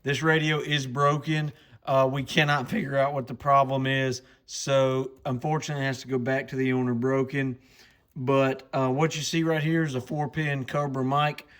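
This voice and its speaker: A man's voice: mid-range voice, a bit bright. A man hosting a casual product walkthrough, talking in a plainspoken, informal way. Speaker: radio channel host.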